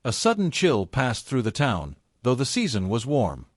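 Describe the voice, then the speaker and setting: A man's voice: medium pitch, huge pitch swings, dry recording. Solemn male storyteller, dry close sound. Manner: solemn, portentous, measured short phrases. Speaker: storyteller, narrator. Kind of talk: scripted folktale narration.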